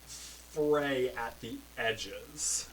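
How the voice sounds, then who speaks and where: A man narrating as game master of a tabletop role-playing game, describing scenes in a relaxed, rambling way. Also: medium pitch, extremely slow. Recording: mic a bit far, faint noise.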